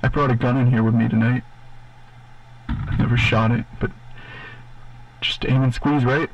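A man playing a despairing radio DJ, halting and hesitant. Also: medium pitch, steady tone, gravelly, lo-fi sound, lightly acted. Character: haunted male radio DJ alone in a booth.

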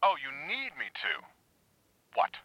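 A man's voice: high-pitched, wildly swooping pitch, measured pace, slight background noise, heavily acted. A man playing an exasperated, sarcastic police employee in an audio drama, heard through a telephone filter. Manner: sarcastic, pointed. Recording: dull muffled phone line.

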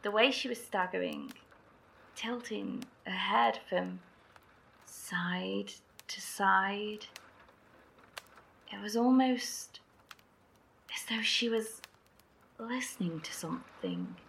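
Woman survivor in horror drama, slow, gravelly, bright tone, hesitant storytelling. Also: medium pitch, very animated, heavily acted. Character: woman survivor recounting eerie night. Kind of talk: audio drama acting.

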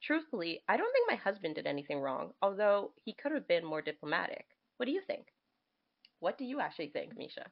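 A woman podcast co-host with very lively, swinging intonation. She chats casually and reads letters aloud expressively. The sound is a little dull and lo-fi. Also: on the low side, brisk. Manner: expressive, reacts to what is read.

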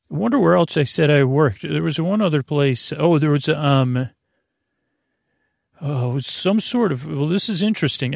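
A man hosting a podcast in a casual, meandering monologue, halting often and trailing off mid-thought. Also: mid-range voice, vocal fry, no room sound, studio quality. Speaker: podcast host.

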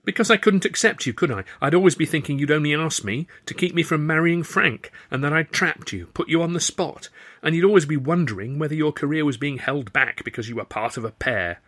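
A young woman in an audiobook story, voiced by a male narrator, speaks briskly and earnestly. She is chatty yet guarded, with a firm edge to her words. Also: on the high side, a bit bright, pitched above the performer's own voice.

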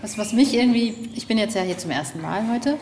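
A woman's voice: mid-range voice, lively intonation, quick pace, a little mellow. A woman interviewing casually and reflectively, with tentative, searching phrasing. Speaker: interviewer, visitor on location. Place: at a castle, reverberant. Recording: echoey, long reverb, noisy ambience.

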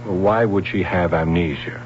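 An American actor playing a skeptical, probing police sergeant in a 1970s radio drama, questioning in a measured way. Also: male voice, sits low, fairly even intonation. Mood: exasperated, skeptical. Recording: dull, noisy old radio broadcast recording.

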